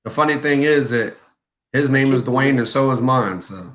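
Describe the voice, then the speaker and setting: A man's voice: medium pitch, moderate pace, over a noisy crowd. A man in his forties chatting casually on a live stream, laid-back, laughing often and telling long stories. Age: 40s. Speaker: co-host of a live chat stream.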